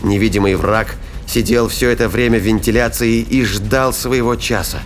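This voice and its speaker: A man's voice: on the low side, fairly even intonation, moderate pace. A man narrating a science fiction audio drama in a scripted, dramatic storytelling style. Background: prominent music bed.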